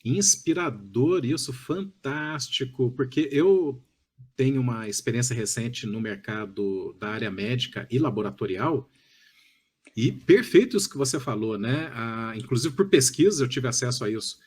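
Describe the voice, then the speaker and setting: A man in his early fifties with a Brazilian accent and a husky edge to his voice. He hosts a conversational podcast, speaking at a medium pitch in wordy, effusive turns peppered with little check-in questions. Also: moderate pace, darkish tone. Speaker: podcast host.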